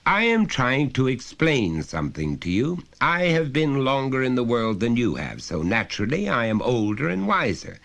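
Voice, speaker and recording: Stern, self-important father for an audio short story, man performing, insistent and lecturing, extremely animated intonation. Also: medium pitch, moderate pace, creaky, hardly any pauses, voice pushed up. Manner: emphatic, lecturing, pompous. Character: overbearing, pompous middle-class father, stern and insistent.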